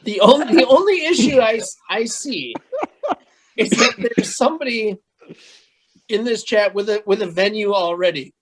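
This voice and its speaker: A podcast host chatting casually, talking in a hesitant, halting way with fillers. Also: mid-range voice, rough recording. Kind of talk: casual chat.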